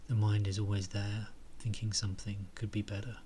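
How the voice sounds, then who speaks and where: Low, even-toned man guiding a meditation. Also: a little fast, a little hiss or hum. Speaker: meditation guide. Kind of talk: guided meditation and spoken reflection.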